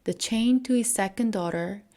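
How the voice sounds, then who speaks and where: A woman storyteller reading a scripted bedtime fairy tale at a medium pitch. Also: moderate pace, mellow.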